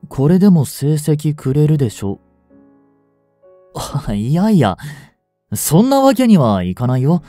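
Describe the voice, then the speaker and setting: Casting: male actor for a gentle, brooding male teacher in an audio drama; very mellow, unhurried, soft-spoken, sighing delivery. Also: on the high side, dry recording. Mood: gentle, chiding. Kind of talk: Japanese audio drama monologue, intimate acting.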